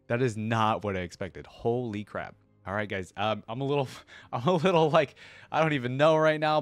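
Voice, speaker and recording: A man's voice: mid-range voice, no echo. A man hosting a reaction video, giving casual, informal commentary in slangy fan talk addressed to his viewers.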